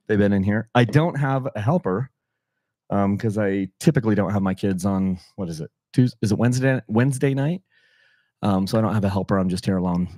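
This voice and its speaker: Middle-aged male host, slightly hoarse, loose and chatty, lowish pitch, dry close recording. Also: darkish tone, no echo. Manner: rambling, self-correcting, chatty. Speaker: channel host running a sweepstakes livestream. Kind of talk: casual livestream talk. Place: room in his house.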